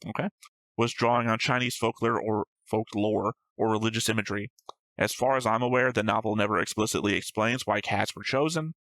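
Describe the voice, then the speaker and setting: Man, reaction show host, lowish voice, matter-of-fact, self-correcting, casual discussion, very dry sound. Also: a little fast, a bit bright, no echo, rough recording. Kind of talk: casual discussion, reading out notes and comments.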